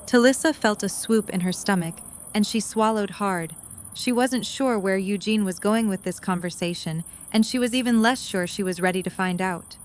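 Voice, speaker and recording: A female narrator with a medium-pitched voice reads a story. Her delivery is scripted fiction narration, as heard between scenes of an audio drama. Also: normal speed.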